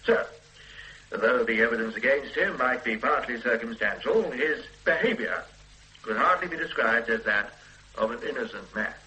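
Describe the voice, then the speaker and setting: An actor playing a measured, dryly authoritative police detective in a radio drama. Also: male voice, mid-range voice, pushed and bright, slight background noise, lightly acted, rough recording. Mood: briefing. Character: methodical middle-aged police detective superintendent. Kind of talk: 1970s radio drama acting.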